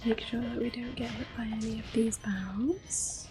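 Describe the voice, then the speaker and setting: A young woman whispering gentle, unhurried commentary while playing a video game for an ASMR video. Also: fairly high pitch, fairly even intonation, music in the background. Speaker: ASMR gaming host.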